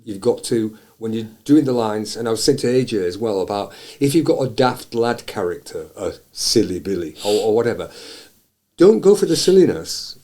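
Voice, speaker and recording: A pantomime actor thoughtfully explaining how to play comic characters. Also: male voice, on the low side, sing-song, moderate pace, warm tone. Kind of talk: interview.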